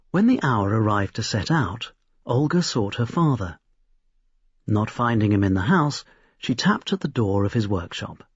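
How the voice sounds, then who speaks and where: Husky male storyteller in a roomy recording. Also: mid-range voice. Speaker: narrator reading a short story. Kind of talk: expressive scripted storytelling. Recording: roomy, slightly dull recording.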